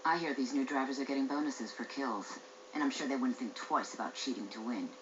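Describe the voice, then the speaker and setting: Wary game racer: extremely low for a woman, serious, noisy dull mix. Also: brisk. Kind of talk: game cutscene acting. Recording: dull game audio, clear background noise.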